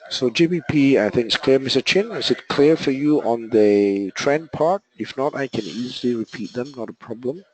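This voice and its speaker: A man's voice: mid-range voice, animated, normal speed, hardly any pauses, low-quality recording. A man with a sore throat, explaining trading charts step by step in a live online session, with frequent okays and uhs. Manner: explains step by step, fills with okay and uh. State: sore throat, voice not clear or loud. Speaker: trading analyst presenting a live webinar. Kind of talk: live market analysis walkthrough.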